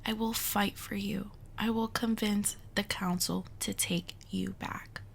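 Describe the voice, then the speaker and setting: A woman's voice: medium pitch, fairly even intonation, a little slow, creaky, slight background noise. Casting: woman as young superhero teammate, fiery and loyal, anxious but reassuring, for audio roleplay. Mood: nervous, reassuring. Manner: informal, interjecting, reassuring. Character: fiery young superheroine, nervous, protective, blurting. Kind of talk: ASMR roleplay acting.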